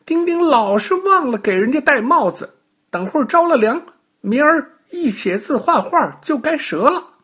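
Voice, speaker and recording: A man voicing put-upon stationery, a fussy pencil case or cap in a storybook, whining and loudly complaining. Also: very high voice, very animated, normal speed, pitched above the performer's own voice, full character voice. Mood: aggrieved.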